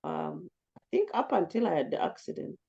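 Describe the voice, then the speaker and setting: Low-voiced talkative woman. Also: sing-song, fairly quick, gravelly, warm tone, husky, highs cut off. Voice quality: low, commanding voice. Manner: talkative, reflective. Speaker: group facilitator. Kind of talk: casual group discussion.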